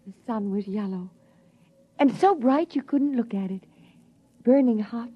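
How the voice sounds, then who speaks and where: An actress playing a frail mother longing for the lost sun, with a breathy, trembling voice, in a 1950s radio drama. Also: fairly high pitch, measured pace. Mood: dreamy. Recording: dull 1950s radio broadcast.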